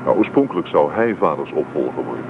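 An actor playing an earnest, self-doubting young farmer's son in a Dutch radio play. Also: male voice, a bass voice, sing-song, quick pace, creaky, exaggerated voice. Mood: modest, chatty. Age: young adult. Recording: tinny, noisy old radio play recording.

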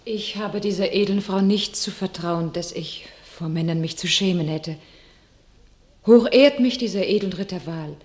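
A woman's voice: fairly low pitch, moderate pace, a little mellow, close to an everyday voice. A woman playing a devout young warrior maid. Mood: solemn. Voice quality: solemn, declamatory. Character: young peasant maid turned holy warrior, proud and devout. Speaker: actor in a radio play. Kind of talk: classic verse radio drama.

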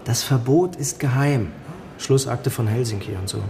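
An actor playing a cynical, knowing East German student in a radio drama, talking in a brusque, colloquial, conspiratorial way. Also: male voice, medium pitch, normal speed, darkish tone, close to an everyday voice. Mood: mocking, secretive. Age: young adult. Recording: clear background noise.

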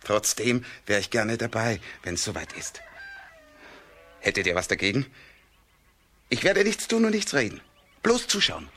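An actor playing a sly, boastful red-haired busybody who poses as a friend in a children's radio drama, with a wheedling, self-important delivery. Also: male voice, on the low side, very animated, unhurried, pauses often, bright, forceful tone, heavily acted. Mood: insistent, wheedling. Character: sly red-haired meddling village man, needling false friend.

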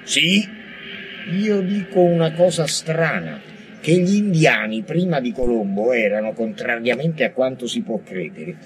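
A man's voice: medium pitch, big pitch swings, unhurried. A man playing an intense, defiant madman poet in a theatrical, declamatory radio monologue with prophetic ranting. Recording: noisy radio recording.

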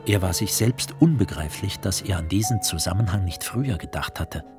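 A man narrating a literary radio play from a script in a measured, serious manner. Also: low voice, lively intonation, over music.

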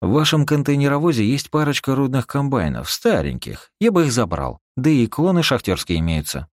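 Мужчина изображает упрямого начальника-торгаша, живая интонация. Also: male voice, mid-range voice, very animated, fairly quick, dry recording, studio quality, voice pushed up, close to an everyday voice. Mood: bargaining. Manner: persuasive, slangy. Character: shrewd male boss haggling briskly, stubborn and practical.

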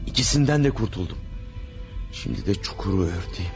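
A man's voice: low-pitched, lively intonation, fairly quick, faint music behind. A man playing a cold-blooded killer in a radio drama, muttering and gloating to himself as he hides his crime. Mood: scheming. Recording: old radio play with faint hiss.

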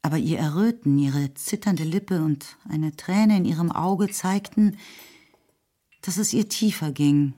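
A woman's voice: deep voice, measured pace, warm tone. A woman reading scripted literary narration as the narrator of a radio play. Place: radio studio. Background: music.

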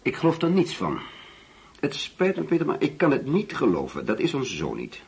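A man's voice: medium pitch, huge pitch swings, moderate pace, gravelly, breathy edge, exaggerated voice. An actor playing a bitter, disillusioned middle-aged father in a Dutch radio play, blunt and sceptical. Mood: disbelieving.

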